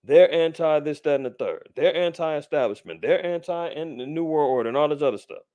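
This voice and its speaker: Slangy, animated male Bible teacher. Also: a little high, a little fast, no room sound. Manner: conversational, streetwise slang, animated asides. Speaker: Bible teacher, podcast host. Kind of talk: informal scripture teaching.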